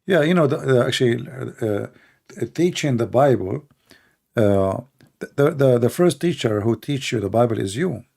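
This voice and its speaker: A man's voice: medium pitch, expressive, normal speed, gravelly, darkish tone. A middle-aged man with an Arabic accent, a native Arabic speaker, talking casually and bluntly while answering questions, with many fillers and restarts. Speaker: livestream debate host.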